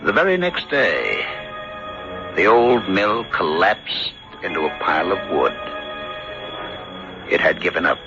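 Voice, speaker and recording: On a muffled old radio recording with music, a grave, cautious country doctor. His deep voice moves widely in pitch, measured and dramatic as a mystery unfolds. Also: big pitch swings, exaggerated voice. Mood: grave, reflective. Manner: dramatic, measured, hesitant in dialogue. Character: grave small-town doctor, cautious, narrating a ghost mystery. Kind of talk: radio drama acting and narration.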